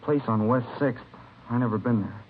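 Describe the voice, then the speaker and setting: An actor playing a frightened, defensive eighteen-year-old delinquent in a vintage radio drama, by turns sullen and pleading. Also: male voice, medium pitch, normal speed, natural voice. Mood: sullen, resigned. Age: young adult. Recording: lo-fi vintage radio recording, faint hiss.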